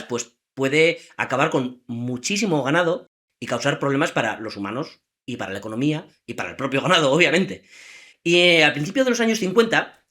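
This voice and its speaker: A man with a somewhat high voice hosts a trivia podcast. He tells the story in a casual, lively way and keeps turning to the listeners with rhetorical questions.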